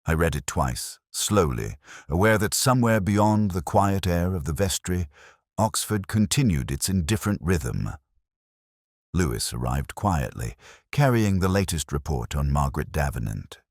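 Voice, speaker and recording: A man narrating a first-person detective story in a measured, solemn, literary manner. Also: low voice, very animated, no echo, clean studio sound.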